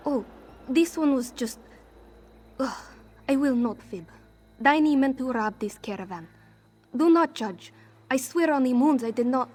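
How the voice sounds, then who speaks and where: A woman's voice: high-pitched, animated, unhurried, pauses often, dark tone. A woman voicing an anxious, street-hardened female cat-folk thief in a fantasy game, defensive and speaking in formal, contraction-free phrasing. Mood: defensive, pleading. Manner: refers to herself in third person, formal contraction-free phrasing. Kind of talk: fantasy game character dialogue.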